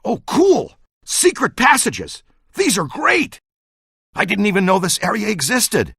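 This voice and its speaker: Excitable, wildly animated ghost hunter. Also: male voice, sits high, a little slow, creaky, husky, no room sound, studio quality, full character voice. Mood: excited. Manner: animated, exclamatory, jargon-laden. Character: excitable, eager ghost-hunting scientist barking team orders. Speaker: video game voice actor. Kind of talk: animated video game voice acting.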